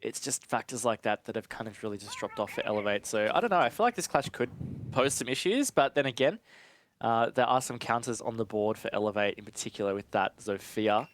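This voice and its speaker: A man's voice: on the low side, a little fast, slight background noise. A man giving conversational live analysis as an esports caster, in an informal way with casual asides.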